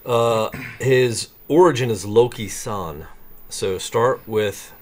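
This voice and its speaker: A man in his forties co-hosting a board game session, relaxed and jokey as he guides the table. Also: mid-range voice, takes it slowly. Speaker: co-host of board game show. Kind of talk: casual board game chat. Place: home studio set with brick wall, indoors.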